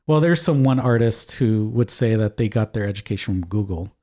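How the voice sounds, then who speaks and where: A man talking casually as a podcast guest, rambling with restarts and casual swearing, with a low voice. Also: fairly quick, warm tone. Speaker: guest artist. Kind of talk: casual conversation.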